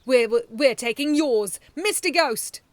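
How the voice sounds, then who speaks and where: A woman's voice: lively intonation, moderate pace, no room sound. A woman voicing a bratty, scheming boy villain who snaps out orders. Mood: snapping. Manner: snappy and shrill.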